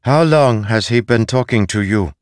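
Brisk, authoritative young warrior woman, played by a man. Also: on the low side, animated, no echo, studio quality, voice pushed up. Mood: interrogating. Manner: direct, assured.

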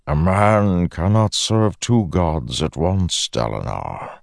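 A man voicing an ancient malevolent god in a fantasy audiobook, smiling, paternal and patronizing, with unhurried theatrical delivery. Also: low-pitched, sing-song, vocal fry, dry recording, clean studio sound, voice pushed up, heavily acted. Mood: persuasive, condescending.

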